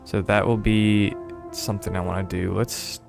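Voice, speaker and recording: Young male streamer, low gravelly voice, rambling and hesitant delivery, faint music behind. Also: level tone, moderate pace. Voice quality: slightly stuffy. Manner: rambling, hesitant, frequent laughs and fillers. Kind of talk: casual gameplay commentary.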